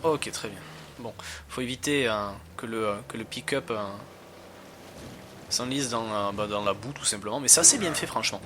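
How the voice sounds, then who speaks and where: A man's voice: on the low side, normal speed, fairly bright tone, slight background noise. A man giving casual gameplay commentary as a reviewer, talking in a slangy, hesitant, rambling way. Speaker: video game reviewer.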